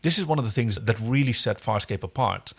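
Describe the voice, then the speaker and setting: A man's voice: medium pitch, lively intonation, a little fast, gravelly, a bit bright, husky. A man co-hosting a podcast, recapping a TV episode in casual, explanatory and jokey chat. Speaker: podcast co-host.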